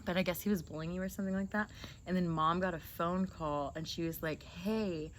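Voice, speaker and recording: Young woman w a lowish voice telling stories, jokey n teasing, kinda animated, noisy background. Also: brisk. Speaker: host interviewing a sibling. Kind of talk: casual chat and storytelling. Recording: noisy, with clear background noise.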